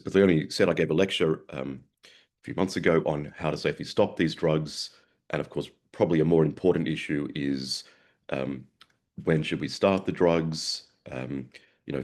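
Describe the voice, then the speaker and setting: A man with a sore throat and a gravelly voice, giving a webinar lecture over a video call, halting and repeating words as he goes. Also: very deep voice, darkish tone. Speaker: guest presenter, psychiatrist.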